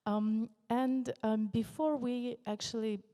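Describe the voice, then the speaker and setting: A woman's voice: on the high side, fairly even intonation, takes it slowly, warm tone, in a large hall. A woman moderating a panel discussion, polite and a little hesitant.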